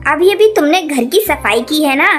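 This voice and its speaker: A woman's voice: high-pitched, animated, moderate pace. A woman voicing a boastful, greedy young female bird in a cartoon, disdainful in manner. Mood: haughty, disdainful. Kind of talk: Hindi cartoon voice acting. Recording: music behind the voice.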